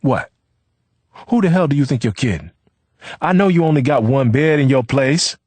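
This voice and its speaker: A husky-voiced man playing a hot-tempered young man who taunts with coarse street talk in a storybook reading. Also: mid-range voice, expressive, moderate pace, a little mellow, no room sound, pitched above the performer's own voice, natural voice. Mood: mocking.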